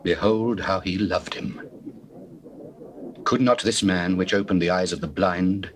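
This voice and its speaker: A grave Judean elder in a scripture audio drama. The voice is low and declamatory with a British accent, under clear cassette hiss. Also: male voice, steady tone, a little slow. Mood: sorrowful. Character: grave adult male Judean elders and onlookers. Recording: noisy, hissy old cassette recording.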